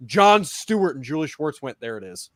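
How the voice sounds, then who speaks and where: A man's voice: a little high, normal speed, a little hiss or hum. A man on a video call chatting casually with a panel, telling stories and swearing freely. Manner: lively storyteller, swears freely. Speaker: panelist on a live stream. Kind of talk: casual group chat.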